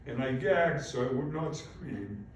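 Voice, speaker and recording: A man's voice: mid-range voice, lively intonation, measured pace. A man in his eighties from Poland, a native Polish speaker, giving a prepared, formal speech of personal testimony. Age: 80s. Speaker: invited speaker, Holocaust survivor. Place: large echoing room at a public event. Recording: very echoey hall, far off, faint noise.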